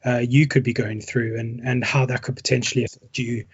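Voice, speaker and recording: A man's voice: mid-range voice, little pitch movement, fairly quick, husky, dull audio. A man hosting a casual podcast interview with his brother, talking in an encouraging, conversational way. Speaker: podcast host. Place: England.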